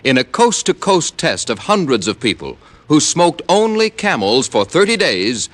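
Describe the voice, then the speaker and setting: A man's voice: on the high side, very animated, moderate pace, a bit bright. A man announcing in an emphatic, persuasive 1950s radio advertising style.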